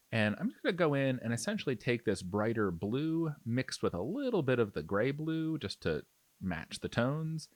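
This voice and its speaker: A man's voice: mid-range voice, no echo. A man narrating a hands-on hobby tutorial in a casual, explanatory, easygoing way. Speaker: miniature painting tutorial host. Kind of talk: casual instructional narration over demonstration.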